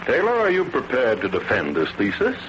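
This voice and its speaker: Formal navy admiral, old radio drama, dull hissy sound. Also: male voice, medium pitch, huge pitch swings, fairly quick. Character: adult male Navy admiral, stern then approving. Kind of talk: 1940s radio drama acting.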